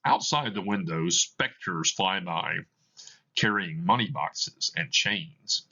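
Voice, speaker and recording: Guy narrating a play script, slow, bit of fry in the voice, slightly muffled lo-fi recording. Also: low voice, bright, forceful tone. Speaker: reader of a play. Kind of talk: scripted narration of stage directions.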